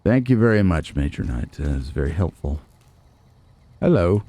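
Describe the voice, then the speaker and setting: A man's voice: sits low, very animated, moderate pace, dark tone, no room sound. A man giving casual, playful gameplay commentary, talking back to characters in a theatrical way. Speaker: let's play host.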